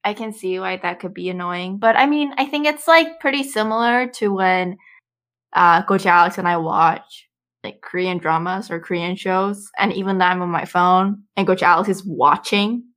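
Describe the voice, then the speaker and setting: A woman's voice: fairly low pitch, normal speed, a bit bright. A woman co-hosting a podcast in casual chat, laughing easily as she talks. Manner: chatty, laughs while talking. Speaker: podcast co-host.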